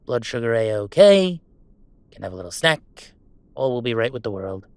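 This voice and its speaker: A man's voice: medium pitch, big pitch swings, moderate pace, clear, resonant tone, no echo. A man playing a goofy young werewolf streamer in an intimate roleplay audio drama, talking in casual, mock-grandiose banter with small hesitations. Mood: sleepy, relaxed. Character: goofy young male werewolf streamer, playful, defiant about family. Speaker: game streamer.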